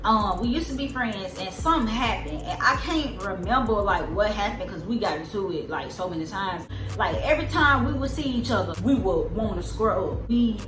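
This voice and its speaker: Young woman, soft squeaky voice, rambling casual storytelling, roomy echo, loud music behind. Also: lively intonation, normal speed. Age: 20s. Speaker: vlogger.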